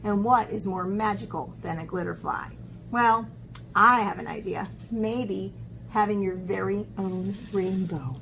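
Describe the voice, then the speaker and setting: A woman art teacher talking to young children in an expressive, encouraging way, as in a lesson or picture book read-aloud. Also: medium pitch, a little slow, gravelly, a little hiss or hum, rough recording. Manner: expressive, encouraging talk aimed at young children, with dramatic gasps.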